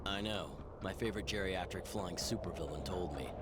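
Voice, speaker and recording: An actor voicing a wisecracking, cocky young masked superhero with an American accent and a sarcastic, quippy delivery in a video game. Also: male voice, low voice, brisk, very noisy, natural voice. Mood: dry, cocky.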